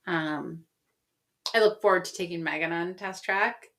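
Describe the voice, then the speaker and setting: A middle-aged woman co-hosting a podcast in casual chat, coughing and losing her voice, giving brisk, decisive answers. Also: on the low side, fairly bright tone. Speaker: podcast co-host.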